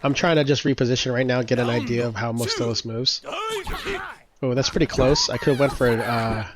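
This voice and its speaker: A man's voice: medium pitch, fairly quick. A congested man casually commentating his own game matches, explaining his plans with lots of fillers. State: congested, slightly stuffy.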